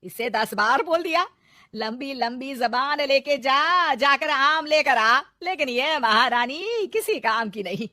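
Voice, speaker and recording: A woman's voice: sits high, animated, sharp tone, no room sound, clean studio sound, full character voice. A woman voicing a demanding elderly mother-in-law in a cartoon story, scolding sarcastically and drawing out her orders. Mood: nagging. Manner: nagging, sarcastic, drawn-out commands.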